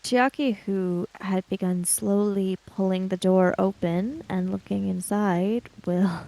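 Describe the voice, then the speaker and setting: A woman narrating her character's actions in a tabletop roleplaying game, in halting, deliberate phrases. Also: medium pitch, fairly even intonation, creaky, mellow, poor audio.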